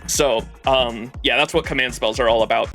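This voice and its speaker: A man's voice: medium pitch, moderate pace, a bit bright. A man giving casual live-stream commentary, rambling and enthusiastic as he explains, swearing freely. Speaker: live streamer playing a game. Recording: game music behind the voice. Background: game music.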